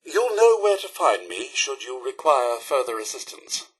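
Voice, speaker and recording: An actor playing a prim, fussy robot butler in a video game, with a British accent, a raspy metallic edge and formal, theatrical politeness. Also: male voice, mid-range voice, very animated, normal speed, rough recording. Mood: earnest, wounded. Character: prim, fussy male robot butler, devoted yet snippy.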